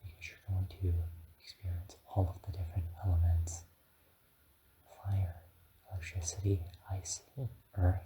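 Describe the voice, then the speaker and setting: Man as encouraging dragon guide, low-pitched halting whisper, soothing ASMR roleplay, noticeable background hiss. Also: warm tone, low-quality recording. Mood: calm, reassuring.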